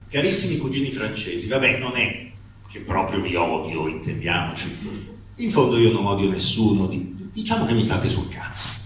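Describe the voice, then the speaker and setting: Echoey, distant man reading wryly. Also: lowish voice. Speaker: reader at a live book reading. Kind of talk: comic dramatic reading of a humorous letter. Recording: very echoey room, distant mic, faint noise.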